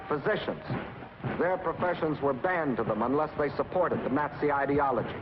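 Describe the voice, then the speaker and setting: A man's voice: on the high side, lo-fi sound, background noise. An American man delivering scripted 1940s propaganda film narration in a dramatic, emphatic manner with clipped phrases, on an old film soundtrack. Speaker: documentary narrator.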